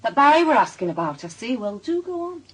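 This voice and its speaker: An actress playing a shrewd, suspicious wife with a Northern English accent and a dry, bitter wit in a naturalistic radio drama, speaking in a pointed, probing way. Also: female voice, a little high, sing-song, fast talker, husky, rough recording. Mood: suspicious, sarcastic.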